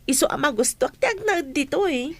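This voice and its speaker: A woman's voice: high voice, animated, fairly quick, dry recording. A woman acting a friendly young village housemaid in an Ilocano radio comedy drama. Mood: friendly, content. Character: young village housemaid hoping to return to school.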